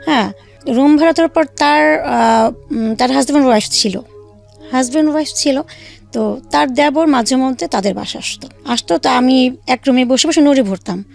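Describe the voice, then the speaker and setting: Gravelly high-voiced woman telling her story. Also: a little mellow, soft background music. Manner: halting, many fillers and restarts. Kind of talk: emotional personal storytelling.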